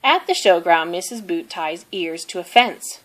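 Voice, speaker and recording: A woman reading a children's picture book aloud in a deliberate, simple storytelling manner, with expressive delivery of the characters' lines. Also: fairly low pitch. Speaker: storybook reader.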